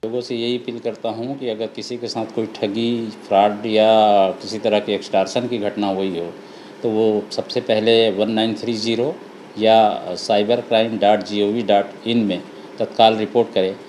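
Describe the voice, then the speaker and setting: Man, lowish pitch, official news interview, formal, hesitant phrasing, light ambient noise. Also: flat delivery, a little mellow, low-quality recording. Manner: halting, formal, official wording. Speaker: official making a public appeal. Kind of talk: interview statement to news media.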